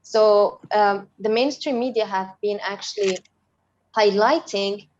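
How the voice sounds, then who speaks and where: A woman's voice: mid-range voice, measured pace, darkish tone, slight background noise. A young woman from Syria giving a presentation as a guest speaker and activist in an online webinar. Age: young adult. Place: online video call. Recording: dull lo-fi online call.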